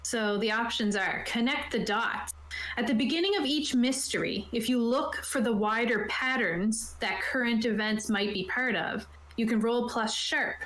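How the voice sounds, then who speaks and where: A woman's voice: a little high, moderate pace, a bit bright. A woman talking casually as a game master, explaining rules and options to players. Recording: roomy echo, light background noise.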